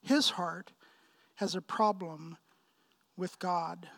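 Man, fifties, from Pakistan, preacher, sermon delivery, slow measured pace, emphatic phrasing, repetition for weight. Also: high-pitched, fairly even intonation, gravelly, a bit bright. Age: 50s. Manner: emphatic, deliberate phrasing with rhetorical questions and repetition. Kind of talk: sermon, expository preaching with personal anecdotes.

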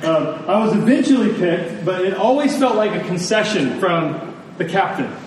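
Male pastor, slightly high voice, earnest conversational sermon delivery with emphatic pauses, in a very echoey room. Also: expressive. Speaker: pastor preaching. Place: large room, preaching to a congregation. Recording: echoey room, distant mic, faint noise.

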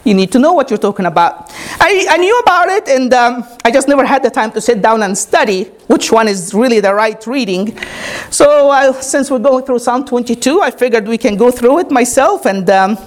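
A woman's voice: on the high side, animated, moderate pace. A woman whose first language is Arabic, preaching a teaching sermon, often asking her congregation "Amen?" and explaining step by step. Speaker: pastor.

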